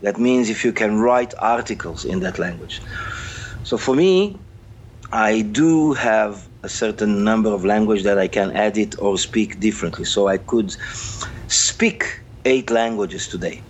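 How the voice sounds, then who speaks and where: Brazilian man answering with halting earnestness. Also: lowish voice, animated, a little hiss or hum. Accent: Brazilian Portuguese. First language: Brazilian Portuguese. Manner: earnest, halting, repeats words while searching. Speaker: journalist guest. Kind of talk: interview answers.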